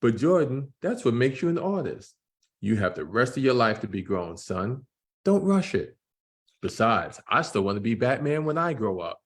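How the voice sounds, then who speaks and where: Man playing a reassuring, animated dad. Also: mid-range voice, moderate pace, lightly acted. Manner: reassuring, wry. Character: supportive, gently joking father of a teenage boy. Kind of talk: children's graphic novel read-aloud.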